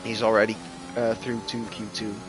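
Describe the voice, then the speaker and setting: A man whose first language is Dutch, commentating live on an online racing game with excitable bursts. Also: lowish voice, normal speed, background noise. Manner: excitable, rising loud bursts at crashes. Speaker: esports race commentator.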